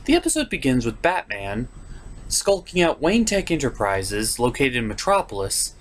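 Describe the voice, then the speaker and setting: A man's voice: medium pitch, huge pitch swings, moderate pace, slight background noise. A man giving a scripted comedic review as a show's critic, opinionated and sardonic. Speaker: puppet show reviewer.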